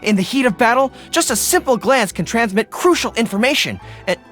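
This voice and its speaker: A man voicing an earnest, dutiful young dog-eared general in a video game, with crisp military diction. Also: extremely high pitch, animated, brisk, a bit bright, soft background music. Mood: earnest, proud. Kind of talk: video game character voice acting.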